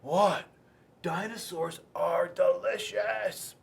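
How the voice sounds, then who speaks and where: A man's voice: sits high, fairly even intonation, very slow, creaky, voice pushed up, heavily acted. Man doing a sly, hungry little goldfish. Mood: smug. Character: cheeky, menacing little goldfish with an appetite. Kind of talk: picture book read-aloud character voice.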